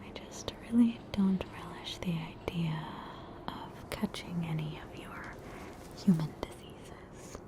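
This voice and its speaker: An Australian woman voicing a soothing, faintly haughty witch doctor in a whispered ASMR roleplay. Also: fairly low pitch. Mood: disdainful. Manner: slow, soothing, reassuring bedside manner.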